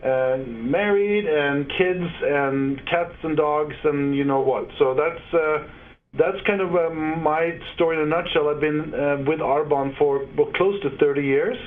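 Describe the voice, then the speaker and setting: Guy in his 50s on a video call, tinny line, lots of uhs. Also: a little high. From Norway. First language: Norwegian. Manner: halting, many fillers. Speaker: guest. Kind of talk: interview over a video call.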